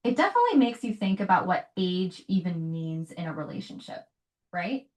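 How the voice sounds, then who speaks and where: A woman speaking calmly and evenly, paraphrasing what she hears and asking follow-up questions. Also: fairly low pitch. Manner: calm, measured, paraphrases and asks follow-up questions. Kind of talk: conversational advice.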